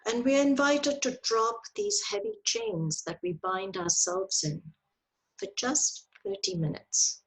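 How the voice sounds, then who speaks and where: A woman leading a guided meditation in a soft, breathy voice, with gentle, deliberate phrasing and long pauses, heard over a video call. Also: on the high side, rough recording. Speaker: meditation teacher leading a yoga nidra. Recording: video call audio with clicks.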